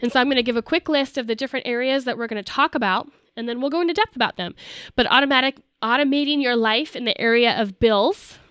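A woman's voice: on the high side, fast talker, a bit bright, clean tone, dry recording, studio quality. A young adult woman co-hosting a casual podcast, a little nasal from a cold, talking with enthusiasm. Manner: enthusiastic, talks in long lists. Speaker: podcast co-host.

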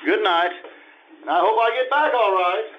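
A man's voice: high voice, normal speed, slight background noise, close to an everyday voice. A man playing a hesitant, sulky husband and father in a radio comedy performed live on stage. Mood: sulky, hinting. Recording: dull lo-fi live stage recording.

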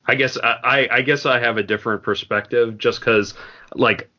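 A man's voice: medium pitch, fairly even intonation, moderate pace, sharp tone, highs cut off. Rambling man co-hosting a podcast. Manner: rambling, filler-heavy. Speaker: podcast co-host. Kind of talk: casual chat.

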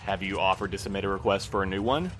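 A man's voice: sits low, fast talker, fairly bright tone, slight background noise. An actor playing a fussy, rule-bound male postal supervisor in an audio drama, speaking in formal, precise phrases with a fretful air. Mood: flustered. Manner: formal, prim, precise wording. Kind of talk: full-cast audio drama dialogue.

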